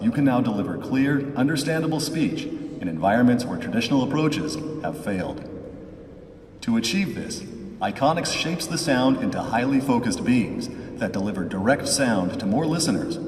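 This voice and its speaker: A man reading a scripted product demonstration in a measured, promotional way, heard as playback through a loudspeaker in a large church. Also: mid-range voice, distant mic. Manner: measured, even, promotional delivery. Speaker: product demo narrator. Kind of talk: scripted corporate narration. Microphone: room microphones at a distance from a loudspeaker. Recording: played back through a loudspeaker in a reverberant church.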